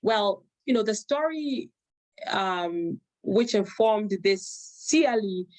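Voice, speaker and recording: A woman's voice: mid-range voice, lively intonation, takes it slowly, a little mellow, dry recording. Ghanaian woman attorney on a video call. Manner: thoughtful, restarts often. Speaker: immigration attorney presenter. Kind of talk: video call panel discussion.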